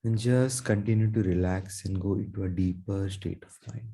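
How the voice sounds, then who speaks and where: A man leading a guided meditation in a soothing, gentle manner, leaving long pauses between his phrases. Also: on the low side, fairly even intonation, gravelly, breathy edge. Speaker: meditation guide, Reiki teacher.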